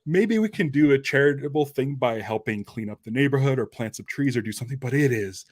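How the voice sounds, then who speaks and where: A man's voice: medium pitch, expressive, brisk, no room sound, studio quality. A man hosting a podcast in a casual, conversational style. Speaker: podcast host. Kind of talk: casual chat.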